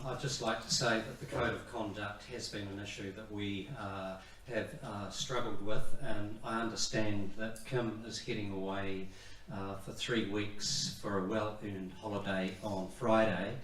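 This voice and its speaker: A man with a low, flat voice addresses a public meeting formally. His delivery is measured and halting, and he sounds distant in a very echoey room. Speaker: speaker at community meeting. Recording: echoey meeting hall, distant mic, faint noise.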